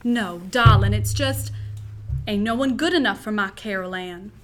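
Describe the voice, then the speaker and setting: A woman's voice: mid-range voice, normal speed, fairly bright tone, soft background music, close to an everyday voice. A woman playing a teasing Southern daddy. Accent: American Southern, put on. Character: teasing, protective Southern father.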